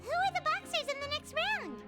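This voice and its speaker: A woman voicing a small, chirpy, excitable childlike fairy companion in a video game, squeaky and perky with a sing-song delivery. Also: brisk. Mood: curious. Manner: perky, sing-song, refers to self in third person. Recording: loud game music behind.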